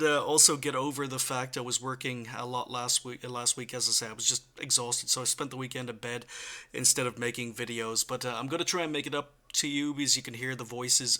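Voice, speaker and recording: Bright-voiced man, chatty gaming host. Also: mid-range voice. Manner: chatty, rambling with many fillers. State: recovering from flu, chest infection. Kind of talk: casual gameplay commentary and history talk.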